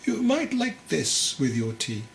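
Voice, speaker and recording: A man's voice: a little high, huge pitch swings, unhurried, vocal fry, roomy sound, voice pushed up, full character voice. Guy doing a wise old monk master for a storybook, patient, teasing, asks lots of questions, bit of noise behind. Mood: kindly. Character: venerable old bald monastery master, calm, gently probing. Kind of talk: storybook character dialogue.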